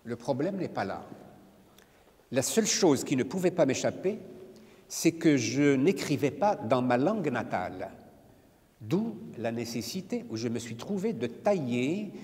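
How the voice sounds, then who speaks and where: An older man from Morocco, not a native French speaker, reading a prepared lecture as a poet in a measured, solemn way. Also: medium pitch, sing-song, darkish tone. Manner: measured, solemn, deliberate phrasing. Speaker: poet giving a talk. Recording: reverberant hall, from a distance.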